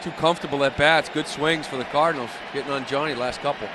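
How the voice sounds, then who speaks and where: Higher-voiced American baseball analyst over stadium noise. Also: male voice, fast. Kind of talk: live baseball analysis commentary. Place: ballpark broadcast booth. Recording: clear stadium noise behind the voice.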